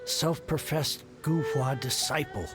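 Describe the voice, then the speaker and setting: An actor playing a weary, defeated book collector in debt in a video game, resigned and self-pitying. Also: male voice, medium pitch, takes it slowly, natural voice. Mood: dejected. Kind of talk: dubbed video game dialogue. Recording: game music behind the voice.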